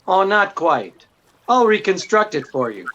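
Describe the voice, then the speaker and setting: An actor playing a witty, self-assured detective, explaining things in an unruffled, dryly courteous way in 1940s radio drama style. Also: male voice, high voice, sing-song, a little slow, sharp tone, dull audio, a little hiss or hum, full character voice. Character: witty, self-assured male amateur detective laying out his deduction.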